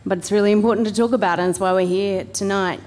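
A woman moderating a live panel discussion with measured, careful phrasing. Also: medium pitch, steady tone, a little mellow. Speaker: panel moderator, radio host. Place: large event venue, live panel. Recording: reverberant hall.

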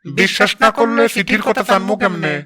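A man voicing a commanding, menacing tree ghost in a comedy horror cartoon, with a husky voice and a colloquial Bangladeshi accent. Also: sits high, a little fast, pushed and bright, faint music behind. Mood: sly, eerie.

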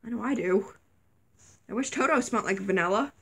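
A young woman vlogger chatting casually while unboxing toys, a little sick, her voice wearing thin, squealing excitedly at surprises. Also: medium pitch, brisk, vocal fry, a little hiss or hum. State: slightly sick, voice going. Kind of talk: casual toy unboxing chat. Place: dim bedroom, indoors.

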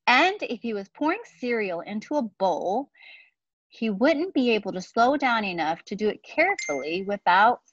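A woman in her forties reading a picture book aloud to children, deliberate and explanatory, with casual asides. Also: fairly high pitch, sing-song, a bit bright, no echo, highs cut off. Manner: deliberate, explanatory, speaking to young listeners. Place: outdoor patio beside trees.

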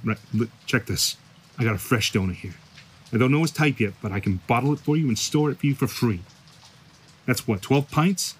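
A man's voice: mid-range voice, a little hiss or hum, natural voice. A man playing a wry, streetwise fixer in an audio drama, stammering and cursing as he talks in slang. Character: shady male store-running fixer, wheedling, wry, streetwise.

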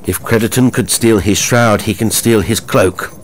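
A man's voice: lowish voice, fairly even intonation, normal speed, slight background noise, voice pushed up, natural voice. A man voicing a frenzied, haggard nobleman with curt, archaic speech in a horror story. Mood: grim.

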